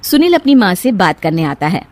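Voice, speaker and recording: A woman's voice: mid-range voice, very animated, brisk, very noisy. A woman narrating a scripted story as its storyteller.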